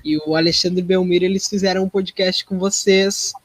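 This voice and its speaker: A man's voice: sits high, steady tone, normal speed, no room sound. A man answering casually in an interview, thoughtful and a little hesitant. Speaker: podcast guest, theatre performer.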